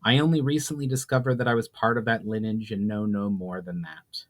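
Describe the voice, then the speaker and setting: A man's voice: fairly low pitch, fairly even intonation, a little fast, lightly acted. A man voicing a courteous, earnest elf envoy with formal wording in a fantasy story. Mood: apologetic.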